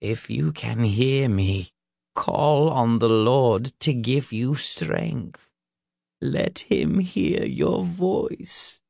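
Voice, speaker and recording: A man voicing a stern, fanatical older male preacher in an audiobook, declaiming in drawn-out sermon phrases. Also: mid-range voice, darkish tone, no echo, clean studio sound, lightly acted. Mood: gentle pleading.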